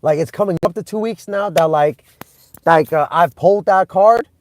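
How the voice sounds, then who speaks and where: A young man from Vietnam chatting with live-stream viewers, excitable and rambling, with many restarts and fillers. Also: sits high, moderate pace. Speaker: channel host streaming live. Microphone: phone.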